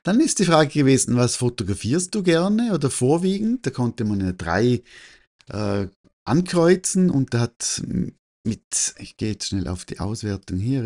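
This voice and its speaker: A man's voice: mid-range voice, a little mellow. Male presenter, Austrian-Swiss German accent, mid-range voice with swooping intonation, informal delivery that halts and self-corrects. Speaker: photography teacher and newsletter host. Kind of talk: informal solo talk presenting survey results.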